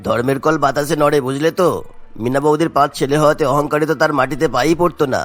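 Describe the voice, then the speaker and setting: A man's voice: on the high side, steady tone, brisk, lightly acted. A man playing a resentful, gossiping neighbour with a grudge in a cartoon. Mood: bitter. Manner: bitter, gossipy. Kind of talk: cartoon dialogue. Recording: music bed behind the voice.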